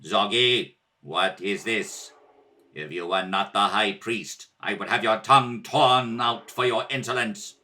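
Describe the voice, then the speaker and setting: A man's voice: lowish voice, unhurried, sharp tone, pitched below the performer's own voice. A man playing a cruel, imperious tyrant emperor in a radio serial, gloating and commanding. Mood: cold menace. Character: Ming the Merciless, menacing, cruel male tyrant emperor.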